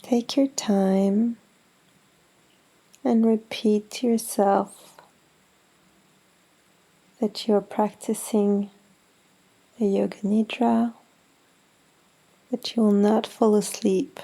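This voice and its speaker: A woman leading a guided meditation in a gentle, soothing, unhurried manner with long pauses. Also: mid-range voice, level tone, vocal fry, low-quality recording. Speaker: yoga nidra meditation guide.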